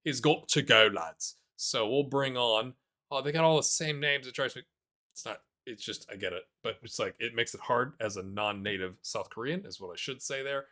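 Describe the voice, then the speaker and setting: A man casually commentating over his own football management game, chatty and self-deprecating, muttering asides and then erupting with excitement at the big moments. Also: mid-range voice, normal speed. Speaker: gaming YouTuber playing a football manager game.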